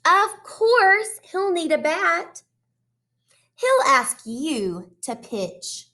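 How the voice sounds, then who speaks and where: A woman reading a children's storybook aloud like a teacher, expressive and deliberate, speaking directly to young listeners. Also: very high-pitched. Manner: addresses children directly with questions and praise.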